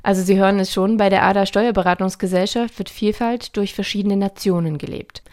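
A woman's voice: medium pitch, fairly even intonation, fairly quick, darkish tone. A woman presents a scripted radio feature, narrating and addressing the listeners directly.

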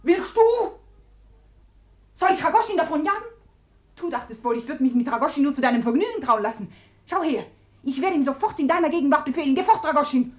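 A high-pitched, proud young woman of a classic radio drama. She is imperious and theatrical, tormented and close to hysteria. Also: animated, fast. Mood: taunting, imperious. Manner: imperious, theatrical, swinging from commands to whispers. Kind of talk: German literary radio drama acting.

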